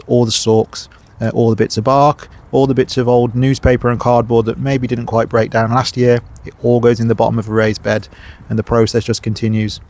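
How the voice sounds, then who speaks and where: A man with a cold, a little sniffly, giving gardening tips outdoors at his allotment. He talks in a relaxed, chatty way, often pausing on uhs and ums, at an average pitch for a man. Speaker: gardening channel host. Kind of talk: casual how-to vlog. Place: outdoor allotment by a main road.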